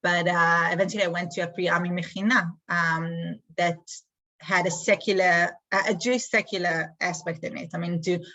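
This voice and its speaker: Israeli woman in an interview, lowish voice, lots of ums as she thinks. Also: fairly even intonation, pushed and bright. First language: Hebrew. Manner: thoughtful, many "um" pauses. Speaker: guest, community emissary. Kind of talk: conversational interview answers.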